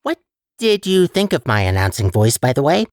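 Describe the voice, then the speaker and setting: A man's voice: medium pitch, sing-song, normal speed, no echo, clean studio sound. A man playing a chatty, sardonic and untrustworthy AI guide in a video game, with wry, hesitant conversational asides. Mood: mocking, amused. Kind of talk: video game character voice lines.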